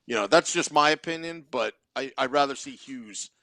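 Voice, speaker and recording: A man's voice: mid-range voice, moderate pace, sharp tone, dry recording. A man hosting a live hockey game-day show, talking into a close studio microphone. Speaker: sports podcast host.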